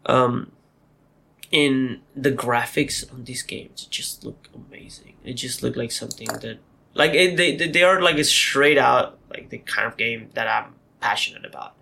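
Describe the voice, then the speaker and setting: A man's voice: medium pitch, normal speed, creaky, pushed and bright. A man co-hosting a podcast in a casual chat, rambling with lots of fillers and teasing his co-host.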